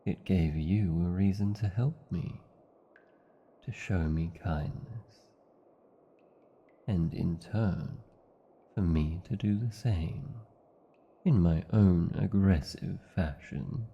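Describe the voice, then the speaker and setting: A man's voice: low-pitched, slow pace, creaky, pauses often, husky, dull audio, exaggerated voice. A British man playing a proud, tender dragon lover in a fantasy audio romance, speaking in formal, old-fashioned, theatrical phrases. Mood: tender, devoted. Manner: formal, archaic, theatrical phrasing with tag questions. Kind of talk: fantasy ASMR roleplay, slow intimate acting.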